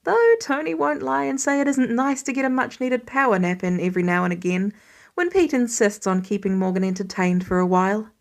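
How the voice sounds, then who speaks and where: A woman narrates fiction in a fairly high voice. She reads scripted prose as an amateur audiobook storyteller would, telling the tale in the third person. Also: a little fast, vocal fry. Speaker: fan fiction audiobook reader.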